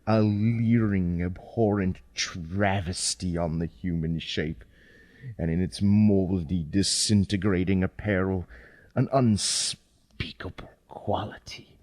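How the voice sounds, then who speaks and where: Low-voiced man narrating gothic horror. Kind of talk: dramatic scripted horror narration. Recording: clear background ambience noise.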